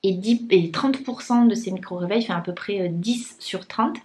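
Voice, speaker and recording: A woman's voice: mid-range voice, normal speed, darkish tone, slight background noise. A young woman talking casually to her vlog viewers, with many fillers and restarts and a blocked, congested nose. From France. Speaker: vlogger. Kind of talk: casual vlog chat to camera.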